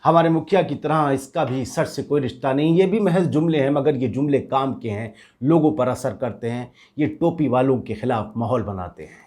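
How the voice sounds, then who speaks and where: A man's voice: medium pitch, moderate pace, few pauses, a little mellow, lightly acted. A man acting as a cocky, cynical street agitator in a satirical drama, with brash, boastful, slangy talk that turns to menace. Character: cocky, cynical street agitator paid to stir religious hatred. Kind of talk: scripted satirical dialogue in street Hindi.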